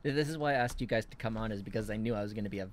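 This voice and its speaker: A man's voice: fairly low pitch, racing, slight background noise. A man hosting a casual podcast chat, joking around with friends. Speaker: podcast host.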